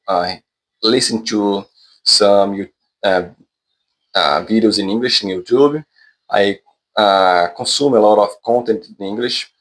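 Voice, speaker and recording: A man from Brazil, a native Portuguese speaker with a Brazilian accent, talking casually and unscripted to viewers in hesitant, self-correcting English. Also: on the low side. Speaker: vlogger, English learner.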